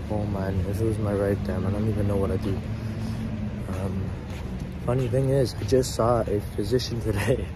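A young man with a hoarse, sore voice, talking to a phone held at arm's length as he limps outdoors at night, groaning now and then in pain. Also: medium pitch. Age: young adult. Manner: groaning, talking while limping. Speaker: vlogger. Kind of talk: casual walking vlog. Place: outdoors at night on a city campus. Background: street traffic.

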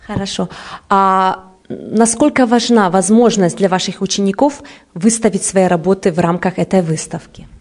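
A woman's voice: mid-range voice, normal speed, darkish tone. A woman in her thirties presenting a scripted video news report and interviewing, speaking into a handheld microphone indoors. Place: indoor art gallery.

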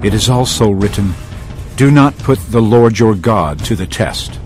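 An actor playing a calm, resolute holy teacher in a dramatised audio Bible, measured and firm. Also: male voice, lowish voice, faint music behind.

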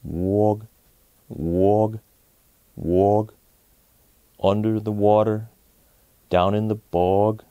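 A man voicing a slow, croaking frog from a children's storybook. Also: lowish voice, steady tone, warm tone, no echo, muffled recording. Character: slow, low-voiced frog in a storybook bog.

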